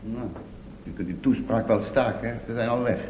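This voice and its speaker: A man's voice: mid-range voice, fairly even intonation, moderate pace, darkish tone, lightly acted. An actor playing a pedantic, precise companion in a Dutch radio play, formal and condescending as he corrects others. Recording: dull old radio recording, faint noise.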